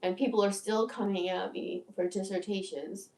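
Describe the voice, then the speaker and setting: A woman's voice: medium pitch, steady tone, normal speed, gravelly, darkish tone. A woman in her sixties rambling through a candid, profane monologue in a livestream, with stammering restarts. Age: 60s. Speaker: video creator. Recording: echoey room, distant from mic.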